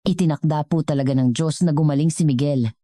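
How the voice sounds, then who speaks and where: Very low-voiced Filipino woman narrating. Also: a little fast, dark tone, no room sound, studio quality. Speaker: storyteller narrator. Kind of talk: scripted dramatic story narration.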